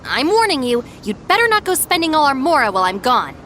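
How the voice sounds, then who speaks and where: A no-nonsense young second-in-command in a video game, a little high in pitch. She admonishes dryly with expressive rises and falls, over a faint low rumble. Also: fast, exaggerated voice. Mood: stern, warning. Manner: matter-of-fact, admonishing. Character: sensible, stern young female deputy, dry and exasperated. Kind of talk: animated video game voice acting.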